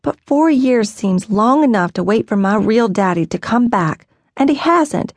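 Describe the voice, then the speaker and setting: A woman's voice: on the high side, expressive, a little slow, no echo, clean studio sound, pitched above the performer's own voice. A woman voicing a young runaway girl who is earnest, stubborn and emphatic. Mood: pleading. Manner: pleading, emphatic.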